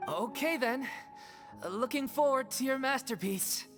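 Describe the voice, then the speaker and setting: A woman's voice: high-pitched, measured pace, soft background music. An actress voicing an upbeat young woman in a video game, friendly and coaxing.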